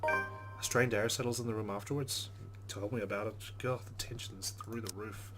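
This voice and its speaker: A man's voice: on the low side, steady tone, normal speed, over loud music. A man playing through a story game, reading its narration aloud with casual asides. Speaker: let's play host.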